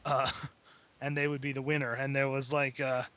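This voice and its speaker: A man chatting casually on a podcast, retelling things in a hesitant, halting way. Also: mid-range voice, little pitch movement, pushed and bright. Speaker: podcast panelist. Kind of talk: casual chat, retelling a story.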